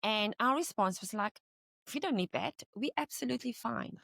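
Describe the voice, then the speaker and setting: A woman's voice: a little high, animated, moderate pace, gravelly, fairly bright tone, no room sound, studio quality. Middle-aged woman from South Africa, laughing easily. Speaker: guest. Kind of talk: personal storytelling in an interview.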